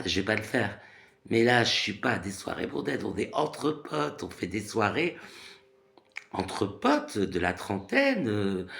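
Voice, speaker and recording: Gravelly, animated man from France. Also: lowish voice. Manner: rambling, animated, repeats phrases with many fillers. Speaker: vlogger. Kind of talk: casual monologue rant.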